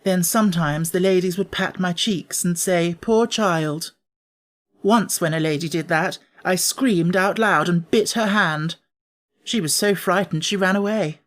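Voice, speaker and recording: A man voicing a frail, spoiled, imperious young boy in a storybook, speaking in a petulant, deliberate way. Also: high voice, a bit bright.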